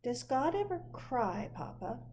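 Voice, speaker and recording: A woman's voice: fairly high pitch, very animated, unhurried, a little mellow, slight background noise. A woman voicing a curious, earnest little girl full of questions in a children's storybook. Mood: curious, wondering. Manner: inquisitive, childlike wonder. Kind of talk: children's picture book read aloud.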